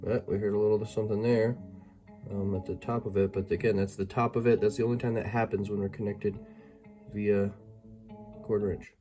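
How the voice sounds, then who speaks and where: Man, low relaxed voice, hesitant casual reviewer thinking aloud, faint music behind. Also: a little fast. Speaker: amateur product reviewer. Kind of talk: casual hands-on gadget review. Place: indoors by a window. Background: music tracks played as demonstration.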